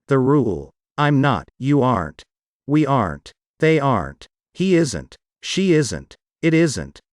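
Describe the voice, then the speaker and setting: A man reading the questions of a scripted English lesson dialogue in a plain, even way. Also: medium pitch, takes it slowly, no echo, clean studio sound.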